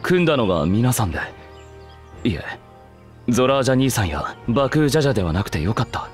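A man's voice: mid-range voice, very animated, quick pace, natural voice. A man playing a polite, reserved young rival in a Japanese video game, with courteous wording. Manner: polite, soft-worded. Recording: background music under the voice.

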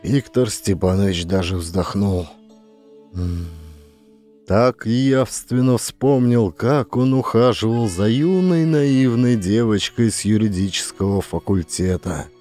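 A man's voice: fairly low pitch, slow, warm tone, soft background music. A man narrating a scripted satirical story as an actor.

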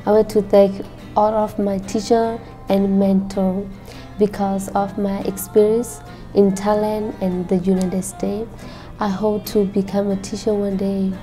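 A teenage girl from Burma reads her personal story as a high school student, in careful, simple phrasing. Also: level tone, unhurried, mellow, soft background music. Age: late teens.

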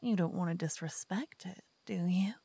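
A woman voicing a cocky, playful lover who whispers and teases softly in an ASMR roleplay. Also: lowish voice, normal speed, creaky, husky, dry recording. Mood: playful teasing. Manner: playful, teasing, soft whispering. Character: cocky playful young woman, a devoted lover waking her partner. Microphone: binaural mic.

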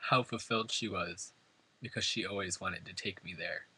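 Low husky guy from upstate new york reading a eulogy, bright edge to the voice, earnest and emotional. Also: normal speed, rough recording. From New York State, US. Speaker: son giving a eulogy. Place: funeral service before family and friends.

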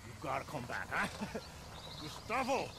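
A man's voice: on the high side, very animated, a little slow, noisy background. A man playing a volatile, swaggering cartel-heir party host in a video game, effusive with a menacing edge, often ending phrases with "huh". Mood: hyped, boastful. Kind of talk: video game cutscene acting.

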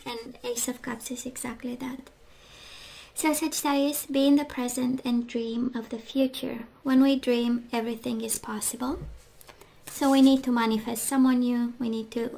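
A woman giving an intimate tarot reading monologue, halting, with phrases that trail into whispers. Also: fairly high pitch, vocal fry, a little mellow, low-quality recording. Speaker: tarot reader.

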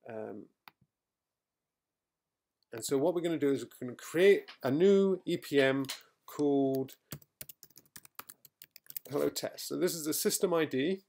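A middle-aged man recorded at home, talking through a software tutorial as he types, with fillers and quick self-corrections. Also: on the high side, vocal fry. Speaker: software trainer. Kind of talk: screencast coding tutorial. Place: quiet home room.

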